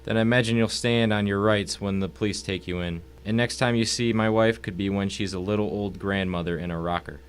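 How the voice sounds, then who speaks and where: Cultured, menacing older-world gentleman villain acted by a man, lowish voice, courteous and cold, audio story, faint hum behind. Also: fairly even intonation, fairly quick, hardly any pauses, close to an everyday voice. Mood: courteously menacing. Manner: urbane, formal, mock-courteous. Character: calm, wealthy, menacing husband, cultured villain. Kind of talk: dramatic audio reading of a short story.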